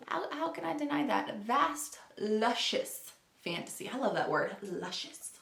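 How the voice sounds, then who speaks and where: A woman's voice: on the high side, big pitch swings, unhurried, creaky, fairly bright tone. A woman talking casually about books to camera in a chatty, rambling way, often correcting herself as she goes. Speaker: book vlogger. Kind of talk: casual book chat to camera. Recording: roomy, echoey home recording.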